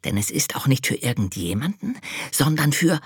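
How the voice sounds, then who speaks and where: A narrator voicing a small, proud and excited boy angel in a children's storybook, chattering eagerly. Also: medium pitch, moderate pace, slightly rough, dry recording, studio quality, voice pushed up, exaggerated voice. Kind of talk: storybook reading.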